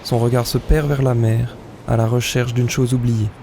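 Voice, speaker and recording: Casting: male narrator, warm low-lit tone with vocal fry, solemn and unhurried poetic delivery for audio fiction. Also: flat delivery, slight background noise. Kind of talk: scripted storytelling narration.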